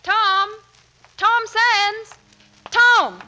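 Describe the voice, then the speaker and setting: An actress playing an earnest, loyal young Navy nurse in a 1940s radio drama. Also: female voice, extremely high pitch, steady tone, drawn-out words, sharp tone, exaggerated voice. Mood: excited. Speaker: actor.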